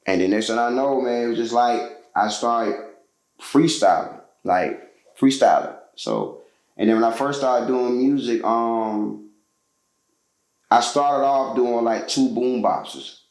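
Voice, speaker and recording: A man's voice: medium pitch, animated, slow, a little mellow, reverberant, low-quality recording. A man from Georgia, a musician telling his story as a guest in a casual interview, loose and slangy with lots of fillers.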